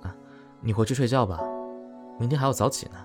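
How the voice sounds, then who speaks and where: A man playing a tired, easygoing young film actor in an audio drama, speaking softly and casually. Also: mid-range voice, quick pace, lightly acted. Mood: calm, tired. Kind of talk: Chinese audio drama acting. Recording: music behind the voice.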